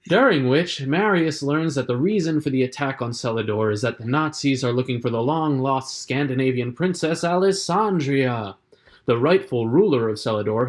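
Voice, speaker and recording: A man speaks as a show's co-host and creator. His delivery is conversational, moving between banter and a read-through of script directions. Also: mid-range voice.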